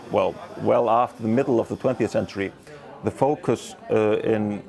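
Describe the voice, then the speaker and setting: A man, speaking thoughtfully in a documentary interview, measured and unhurried. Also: lowish voice, creaky, a little mellow. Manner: thoughtful, measured, with pauses and fillers.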